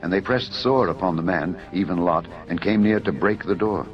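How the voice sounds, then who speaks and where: A man's voice: low voice, normal speed. A man narrating dramatised scripture in a formal, solemn style. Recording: dull narrow audio over music.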